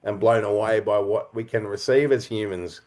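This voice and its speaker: Expressive, reflective man telling his story. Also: fairly low pitch, normal speed. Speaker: interview guest, series writer and creator. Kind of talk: conversational interview storytelling.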